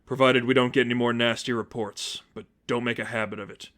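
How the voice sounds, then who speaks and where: A man voicing a stern, brooding masked vigilante mentor, speaking in a grave, terse, low-key way in a story reading. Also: steady tone, a little fast, fairly bright tone, lightly acted.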